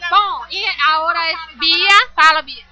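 Young brazilian girl on a webcam in a mall, switching between spanish and portuguese, really high bright voice. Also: unhurried. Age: young adult. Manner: mixes broken Spanish into Portuguese, lively. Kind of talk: casual group livestream chat. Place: large indoor shopping mall. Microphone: webcam mic.

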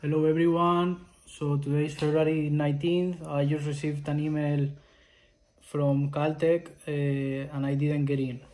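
A man's voice: a little high, flat delivery, measured pace, darkish tone. A young man from Spain talking casually to camera in a vlog, hesitant, with many fillers and restarts. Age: 20s. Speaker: vlogger. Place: small room indoors.

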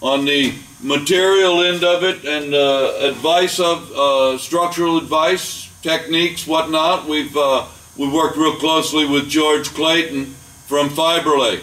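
Older guy showing off a boat build, bright clear voice, talks a bit off-mic in a big echoey warehouse with faint hum. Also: on the high side, fairly even intonation, far from the mic. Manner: plain, unhurried, with frequent uh fillers. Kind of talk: casual walkthrough tour. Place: large boatbuilding warehouse, indoors. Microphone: camera mic, speaker often several steps away.

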